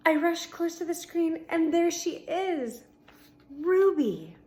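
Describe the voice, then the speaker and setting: A woman reading a children's book aloud like a teacher to pupils, expressively, with deliberate pauses between short phrases and casual explanations. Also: very high-pitched, animated, a little mellow. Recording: noticeable background noise.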